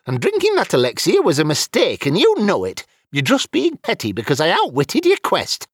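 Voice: medium pitch, wildly swooping pitch, normal speed, breathy edge, no echo, clean studio sound, voice pushed up, heavily acted. A narrator voicing an elderly male wizard, sheepish and quibbling, with folksy dialect phrasing, in a fantasy audiobook. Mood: indignant.

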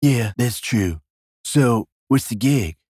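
A man's voice: medium pitch, animated, slow pace, darkish tone, breathy edge, dry recording, clean studio sound. Man playing a cocky, slangy dude of an android king. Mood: laid-back, cocky. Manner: slangy, bossy, laid-back.

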